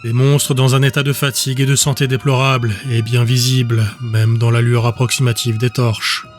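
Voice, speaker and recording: A man narrating scripted fiction as an audiobook, with a measured, descriptive storytelling manner. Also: medium pitch, flat delivery. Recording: music under the voice.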